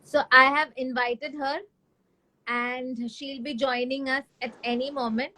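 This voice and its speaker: A woman with an Indian accent hosting a live talk on mental health, speaking earnestly and mixing English with Hindi. Her voice is high and youthful, the kind others call a baby voice. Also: little pitch movement, unhurried, sharp tone, poor audio. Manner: switches between English and Hindi mid-sentence; earnest, asks the audience questions. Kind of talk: casual talk to a live audience.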